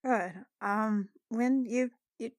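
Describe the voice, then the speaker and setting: A woman's voice: a little high, fairly even intonation, slow, dry recording, clean studio sound. A woman playing a tabletop roleplaying game, chatting casually about her character as a player.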